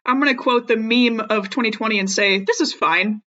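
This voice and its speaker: A woman's voice: a little high, normal speed, fairly bright tone. A woman talking casually as a player in a tabletop game. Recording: dull lo-fi mic, faint noise.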